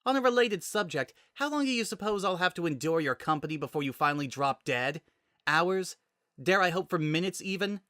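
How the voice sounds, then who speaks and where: A man's voice: high voice, expressive, a little fast, pushed and bright, dry recording, studio quality, pitched above the performer's own voice. A man voicing a defiant woman bounty hunter in a sci-fi dramatic reading, biting, sneering and sarcastic. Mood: scornful.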